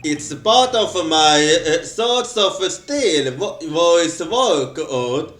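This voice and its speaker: A man voicing a loud, confident young male defense attorney who declaims his lines. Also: fairly high pitch, lively intonation, extremely slow, reverberant, full character voice. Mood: boastful.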